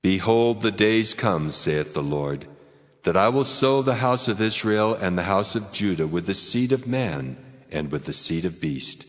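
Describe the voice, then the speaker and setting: Very deep, reverberant voice of God. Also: male voice, expressive. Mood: solemn, resolute. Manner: measured, solemn, declamatory. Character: God, a solemn, fatherly, commanding male deity. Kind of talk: dramatized scripture reading. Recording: long cavernous reverb, music underneath. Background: music.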